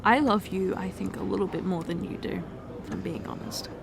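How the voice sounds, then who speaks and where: Woman acting as a young fiancée, distraught, halting, stammering restarts, mid pitch, noisy recording with vehicle rumble. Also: gravelly. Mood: hushed, wounded. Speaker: ASMR roleplay performer. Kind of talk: ASMR roleplay.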